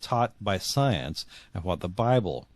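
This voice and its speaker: A man in his sixties speaking as a guest author in a reflective interview, earnest and halting, often repeating words as he searches for phrasing. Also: low-pitched, sing-song, no room sound. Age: 60s.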